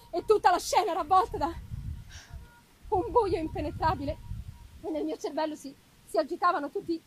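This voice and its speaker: A woman performing an anguished, haunted young male scientist in a dramatic, halting gothic literary reading, emphasizing and repeating words. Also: very high voice, poor audio. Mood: tormented, brooding.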